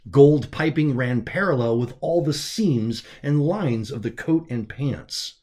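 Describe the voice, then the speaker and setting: A man's voice: medium pitch, expressive, a little slow, mellow. A man reading his own science fiction story aloud as scripted, dramatic audiobook narration.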